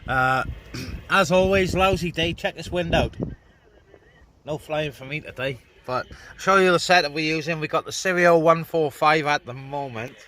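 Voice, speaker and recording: A man's voice: a little high, unhurried, long pauses, sharp tone. A man with a British accent giving casual, informal running commentary while setting up radio gear. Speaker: CB radio hobbyist vlogger. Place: on a windy hilltop by a vehicle. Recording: windy, noisy handheld outdoor recording. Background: wind and radio noise.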